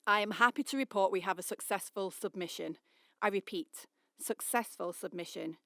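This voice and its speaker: An actress playing a stern, distrustful female captain of a deep-sea crew in a radio drama, sardonic and commanding. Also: moderate pace, creaky, a bit bright, dry recording, clean studio sound. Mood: formal.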